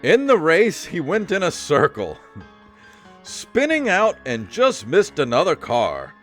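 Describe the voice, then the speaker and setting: A man's voice: fairly high pitch, wildly swooping pitch, takes it slowly. A middle-aged man hosting a comedic podcast, talking casually in a rambling, sweary, mildly exasperated way. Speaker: podcast host. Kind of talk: casual comedic podcast chat and reading aloud. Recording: music playing under the voice. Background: royalty-free synthesizer music.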